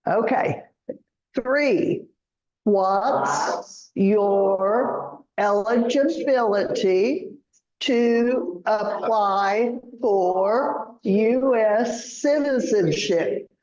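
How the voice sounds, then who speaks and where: A woman teaching a citizenship class, speaking slowly and clearly and drilling words for students to repeat. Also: mid-range voice, a little mellow. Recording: roomy, muffled classroom audio.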